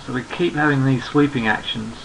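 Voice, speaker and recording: A man with a gravelly, croaky voice walks through trading charts. He is losing his voice and sounds hoarse. He speaks at a relaxed pace, thinking aloud and repeating himself as he goes. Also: medium pitch, brisk, slight background noise, poor audio. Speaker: trading analyst. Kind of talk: screen-recorded market chart commentary.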